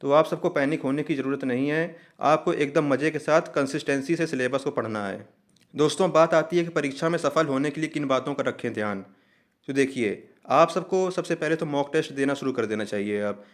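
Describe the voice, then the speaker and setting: A man's voice: on the high side, fairly quick. A man giving an informational explainer to students in a reassuring way, mixing in English terms. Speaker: host of an exam update channel.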